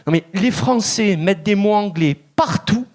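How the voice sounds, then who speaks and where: A man's voice: high voice, expressive, normal speed, darkish tone. A man in his thirties from Marseille with a strong Marseille accent, doing a chatty stand-up set on stage. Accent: Marseille French. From Marseille, France. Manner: chatty, laughing, puts on voices for quoted people. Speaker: stand-up comedian. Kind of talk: stand-up routine. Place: comedy club stage.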